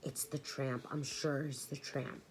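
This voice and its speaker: A woman's voice: very deep voice, level tone, measured pace, slight background noise, poor audio. A woman reading aloud and voicing an anxious teenage girl who wails nervously. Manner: nervous, wailing.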